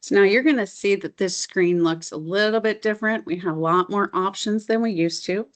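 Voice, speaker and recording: A middle-aged woman presenting a software demo as a consultant, explaining features in an informal, enthusiastic, conversational way. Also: medium pitch, fairly quick.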